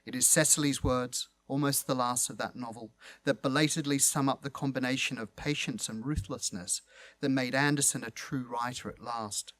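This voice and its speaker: A man's voice: mid-range voice, steady tone, moderate pace, fairly bright tone, no room sound. Formal man giving a literary lecture. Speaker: literary event speaker and host. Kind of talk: prepared literary lecture read aloud.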